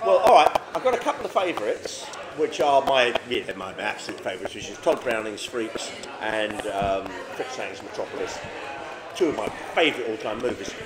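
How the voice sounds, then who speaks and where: Male guest, emphatic film-loving storyteller, lively intonation, echoey room with heavy crowd din. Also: fairly low pitch, moderate pace, very noisy. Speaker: podcast guest. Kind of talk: animated casual chat about favourite films. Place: busy pub, indoors.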